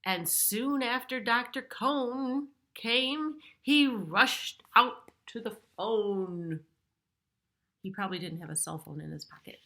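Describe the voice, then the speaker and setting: A middle-aged woman reads a storybook aloud for preschool children. Her delivery is slow and her voice is bright. She explains things simply, as one does for young listeners. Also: on the high side. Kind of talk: picture-book read-aloud with explanatory asides.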